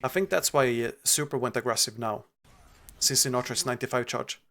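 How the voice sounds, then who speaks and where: A man's voice: medium pitch, pushed and bright. A young Swedish-accented man breaks down a replay at an easy pace, working through his points aloud and stopping for fillers along the way. His voice sits in an ordinary middle register. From Sweden. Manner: thinking aloud, pausing with fillers. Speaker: esports analyst. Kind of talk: casual match review.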